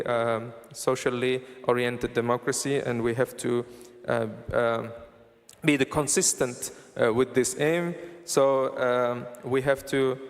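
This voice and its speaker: A man giving a formal conference presentation as an official, speaking haltingly with many hesitations and broken-off words, recorded in a large hall. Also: medium pitch. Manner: halting, hesitant delivery with very frequent filled pauses and broken-off words. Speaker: government or university official presenting at a forum. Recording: reverberant hall sound through PA.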